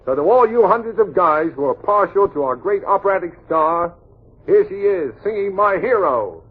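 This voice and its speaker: A man with a husky voice hosting a wartime variety show, announcing in 1940s radio style to servicemen. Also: high voice, lively intonation, normal speed. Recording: muffled vintage 1940s radio broadcast.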